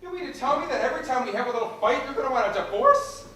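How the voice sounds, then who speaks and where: A woman's voice: sits high, lively intonation, racing, a bit bright, very echoey, recorded from a distance. A woman acting an exasperated young lawyer husband on stage, with dry sarcasm that turns to pleading.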